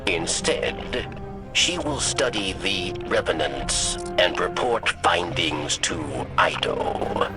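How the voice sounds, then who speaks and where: Dignified alien elder, video game, low, slow, formal, halting, dry wit, music behind. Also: male voice, very animated, heavily acted. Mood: grave, measured. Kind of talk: video game cutscene acting.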